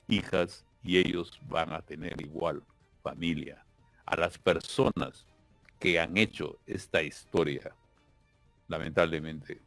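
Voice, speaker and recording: Peruvian male candidate, emphatic and solemn; deep, slow delivery. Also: creaky, no room sound, rough recording. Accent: Peruvian Spanish. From Peru. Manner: solemn, emphatic, repeats words while searching. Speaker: political candidate. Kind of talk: campaign interview speech.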